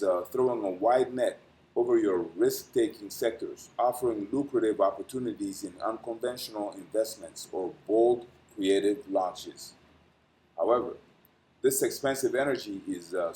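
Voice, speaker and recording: A man with a somewhat low voice hosts an astrology channel and reads a dense weekly forecast. He stumbles now and then and fills gaps with "uh", pronouncing each English word with care. His sign-off turns chatty and meandering. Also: darkish tone, a little hiss or hum, poor audio. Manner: reads dense prepared text with frequent "uh" fillers and stumbles; careful pronunciation of English as a later-learned language. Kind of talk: reading a weekly horoscope, then casual sign-off.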